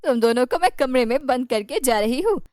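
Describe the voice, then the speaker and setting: A woman's voice: high voice, expressive, a little fast, darkish tone, no echo, studio quality. A woman voicing a cruel, bossy aunt in a cartoon moral story, scolding and threatening in an imperious way. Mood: stern.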